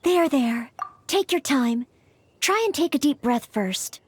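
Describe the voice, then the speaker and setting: Game role: young maid aspiring to knighthood; high, animated, gentle, formal, eager to please. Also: female voice, slow, slight background noise. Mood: reassuring. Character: earnest, polite young maid training to be a knight. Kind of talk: video game voice acting.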